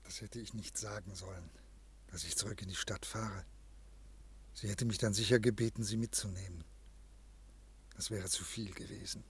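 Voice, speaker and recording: An actor playing an ailing, evasive civil servant in a 1950s German radio drama, speaking formally and haltingly. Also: male voice, on the low side, steady tone, a little hiss or hum, close to an everyday voice. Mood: brooding, guilty.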